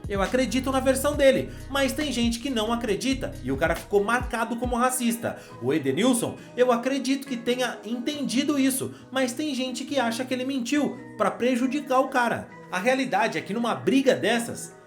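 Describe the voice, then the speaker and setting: A man's voice: very high-pitched, expressive, a little fast, few pauses, faint music behind. Brazilian man giving casual football commentary. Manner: conversational, addresses viewer with slang. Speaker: football channel host.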